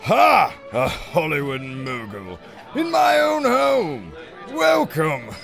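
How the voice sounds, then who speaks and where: Pompous, theatrical English lord, swooping pitch. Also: male voice, huge pitch swings, gravelly, fairly bright tone, soft background music, some chatter behind, heavily acted. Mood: effusive. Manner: pompous, theatrical, drawn-out words. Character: pompous, snobbish English lord hosting a reception. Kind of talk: audio adventure drama acting.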